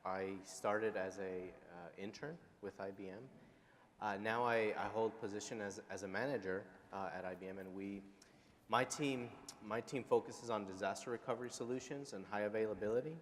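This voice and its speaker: A man's voice: on the low side, moderate pace. A man from El Paso, Texas, introducing himself on a live panel in a hesitant way, with many fillers. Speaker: panelist, engineering manager. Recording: reverberant hall with faint room noise.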